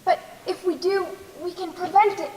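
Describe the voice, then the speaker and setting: A woman's voice: very high voice, fairly even intonation, moderate pace, dark tone. A young teenage girl acting a brave, resolute daughter in a school stage play. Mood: earnest, concerned. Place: school stage in a large hall. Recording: echoey hall with background noise.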